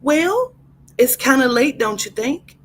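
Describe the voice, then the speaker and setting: A woman's voice: sits high, sing-song, slow, slight background noise, voice pushed up, full character voice. A woman voicing a female hotel front desk attendant in a told story, eerily insistent, polite and pointed, repeating herself. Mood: pointed concern.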